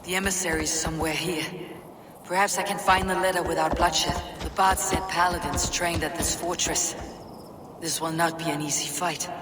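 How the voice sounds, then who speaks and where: A woman's voice: lowish voice, little pitch movement, moderate pace, natural voice. A woman playing a blunt, steely Viking warrior in a video game, terse and dry in manner. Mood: focused, musing. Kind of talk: video game character acting. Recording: loud music behind the voice.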